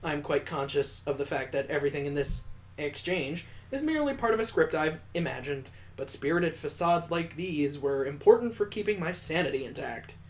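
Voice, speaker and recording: A man hosting a gaming video, reading visual novel text aloud in a playful, expressive way and adding joking asides of his own. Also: a little high, moderate pace.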